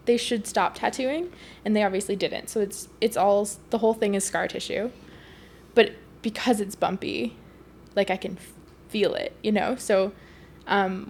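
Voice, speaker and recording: A woman's voice: mid-range voice, a little hiss or hum. A young woman from Canada chatting casually as a podcast guest, rambling with lots of fillers and laughs. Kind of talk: casual conversation.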